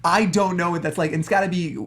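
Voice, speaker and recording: A man's voice: sits high, fairly even intonation, fast talker, fairly bright tone. Earnest, rambling male talk show panelist. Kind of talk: casual film discussion.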